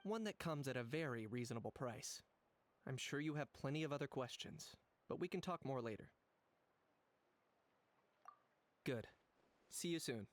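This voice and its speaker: A man's voice: mid-range voice, lively intonation, a little fast, lightly acted. A man voicing a cool, blunt young warrior in a video game, speaking in a direct, unruffled, matter-of-fact way. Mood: businesslike. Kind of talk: video game character dialogue.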